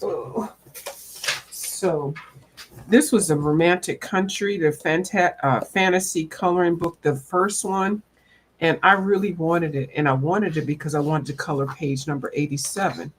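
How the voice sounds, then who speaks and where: A woman's voice: low voice, a little slow, slight background noise. A woman chatting casually to viewers in a folksy, rambling way. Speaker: coloring channel host. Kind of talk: casual chat to viewers about coloring books.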